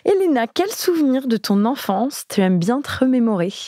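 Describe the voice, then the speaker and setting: French woman, podcast host, chatty and warm with guests, dry close sound. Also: a little high, sing-song, moderate pace, no echo. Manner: friendly, chatty, laughs often. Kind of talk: casual interview chat.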